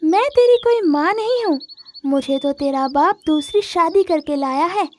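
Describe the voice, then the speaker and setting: A sly cartoon stepmother bird, acted by a woman, speaks in a high voice with a scolding, greedy edge. Faint noise and chirping birds sit behind the voice. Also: lively intonation, unhurried, darkish tone. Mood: scornful. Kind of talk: Hindi moral-story cartoon dubbing.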